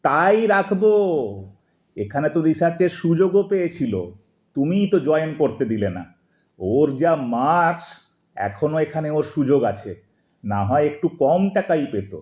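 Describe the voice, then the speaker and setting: A man's voice: a little high, lively intonation, normal speed, long pauses, darkish tone, muffled recording, lightly acted. A man playing a henpecked but stubborn retired Bengali husband and father in an audio drama, moving between hesitant pleading and indignation. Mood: earnest, insistent.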